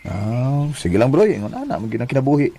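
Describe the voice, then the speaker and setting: An actor with a Cebuano Filipino accent playing a friendly, easygoing, teasing co-worker in a Bisaya radio drama. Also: male voice, mid-range voice, sing-song, fairly quick, mellow. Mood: friendly, consoling.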